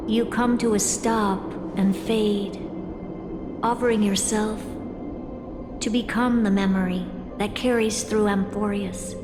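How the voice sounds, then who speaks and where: A woman playing an aloof, all-knowing genius who gives grave counsel in a measured, cryptic way in a video game. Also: medium pitch, fairly even intonation, natural voice. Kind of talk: dramatic video game voice acting. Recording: very echoey long reverb, music behind.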